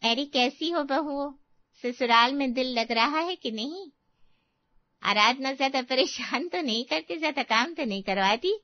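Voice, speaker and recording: A woman's voice: high voice, lively intonation, unhurried, no echo, highs cut off. A woman voicing a middle-aged neighbour, chatty and prying with her questions, in a family story. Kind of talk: Hindi family story acting.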